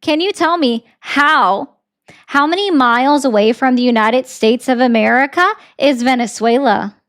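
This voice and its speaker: Woman, livestream host, sarcastic, pointed questions, slightly high voice. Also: normal speed. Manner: sarcastic, presses callers with repeated questions. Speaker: livestream host taking callers. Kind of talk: political call-in debate.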